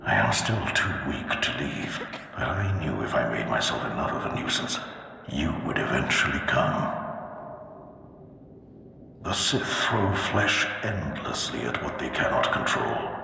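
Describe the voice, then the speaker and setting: An actor playing the ancient ghost of a proud, brooding Sith lord in a video game, speaking in a solemn, archaic, imperious manner. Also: male voice, a bass voice, lively intonation, unhurried, vocal fry, sharp tone, full character voice. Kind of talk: theatrical video game voice acting. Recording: echoing hall reverb, faint noise.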